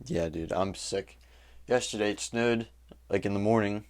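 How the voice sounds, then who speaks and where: A man's voice: on the low side, normal speed, no echo. A young man co-hosting a podcast in casual chat, speaking in slang with some swearing. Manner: slangy, swearing, brief replies. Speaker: podcast co-host.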